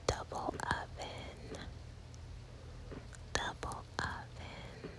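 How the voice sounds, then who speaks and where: A woman whispering softly and slowly as she walks through a house, admiring each room in a gentle ASMR style. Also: poor audio. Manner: soft unvoiced whisper, slow and admiring. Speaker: ASMR house tour host. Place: inside an empty house, walking through rooms. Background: rain sounds.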